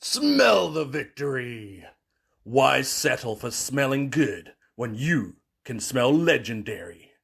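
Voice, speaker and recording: A man playing a bombastic macho pitchman, dramatic and emphatic, in a parody radio commercial. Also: big pitch swings, takes it slowly, gravelly, a bit bright, slightly rough, exaggerated voice. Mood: triumphant bravado.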